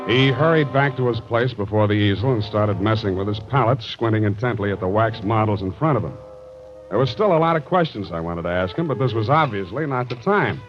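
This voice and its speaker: An actor playing a sardonic, wisecracking private detective in a 1940s-style radio drama, with narration and banter. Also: male voice, on the low side, lively intonation, fairly quick, close to an everyday voice. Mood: sardonic narrating. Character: wry hard-boiled male private detective narrating his case. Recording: dull vintage radio recording with music.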